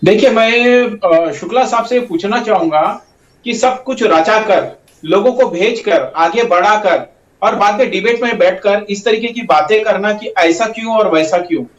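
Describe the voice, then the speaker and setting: Male political panelist, high-pitched and insistent, arguing his case through a dull, distant mic. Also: a little slow. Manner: insistent, repetitive, uses tag phrases. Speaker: political party spokesman, debate panelist. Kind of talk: political debate argument. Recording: roomy, dull, distant microphone.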